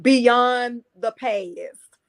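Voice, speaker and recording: A woman's voice: on the high side, extremely slow. A woman guest on a podcast, speaking emphatically and stacking phrases for effect. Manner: emphatic, building lists for effect. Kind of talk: casual interview chat.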